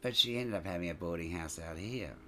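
A man with a very low, deep voice tells stories from his life in an expressive, chatty way. He often adds small asides as he goes. A faint hiss sits under the recording. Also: fairly quick. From Scotland, raised in Sydney. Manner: lively, expressive, frequent "you know" asides. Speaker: stage entertainer and show producer. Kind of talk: chatty interview storytelling.

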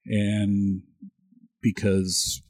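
A man's voice: on the low side, completely flat delivery, very slow, darkish tone, slightly rough, no room sound. A middle-aged Canadian man whose first language was French, co-hosting a casual podcast chat and often repeating words as he starts a sentence. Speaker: podcast co-host.

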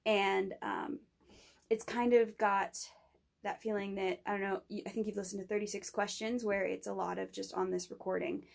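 A young woman speaks as a student guest making an informal pitch. Her voice sits at an average pitch and crackles with vocal fry. Her phrasing is loose and a little tentative. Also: little pitch movement, moderate pace. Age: young adult. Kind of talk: informal spoken pitch in an interview.

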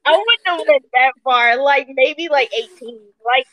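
A woman's voice: sits high, a little slow, no echo, highs cut off. A woman in her thirties hosting a podcast with casual interview chat. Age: 30s. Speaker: podcast host.

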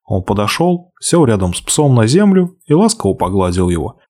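A man reading a fairy tale aloud, expressive, slowing and hushing for the sad passages. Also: fairly low pitch. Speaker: narrator. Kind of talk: storybook reading.

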